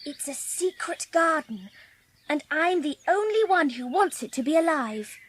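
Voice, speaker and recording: A woman's voice: sits high, lively intonation, a little slow, no echo. A woman playing an earnest, lonely orphan girl in a dramatised audiobook, with childlike phrasing. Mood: secretive, defiant.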